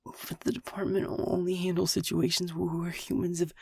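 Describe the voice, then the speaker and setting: A scared, anxious young male student of magic acted by a man in an audio drama. The voice is heavily creaky and husky, stumbling and hesitating over words, recorded close and dry. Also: high-pitched, completely flat delivery, brisk, darkish tone, no echo, heavily acted. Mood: anxious protest. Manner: stammering, hesitant. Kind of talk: audio roleplay acting.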